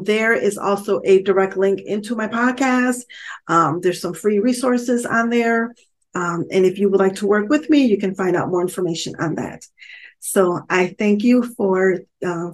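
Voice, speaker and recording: An upbeat woman hosts her own podcast, speaking at a middle pitch. She talks in a warm, encouraging way and fills her pauses with frequent "um"s. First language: not Spanish; English first language. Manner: upbeat, encouraging, many "um" fillers. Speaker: podcast host and author. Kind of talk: solo podcast talk.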